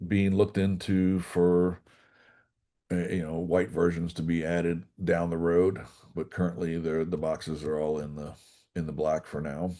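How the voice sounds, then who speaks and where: Low, dark-voiced man explaining gear. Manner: relaxed explanatory, frequent fillers. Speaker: product trainer. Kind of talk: technical webinar presentation.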